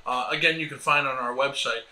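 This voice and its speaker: A man's voice: medium pitch, fairly even intonation, fairly quick, pushed and bright. An American man in his thirties co-hosting a homesteading vlog, talking casually and in a wordy, meandering way. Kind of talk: casual explanatory chat to camera. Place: indoors at a table in a small sunlit room by windows. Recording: echoey room.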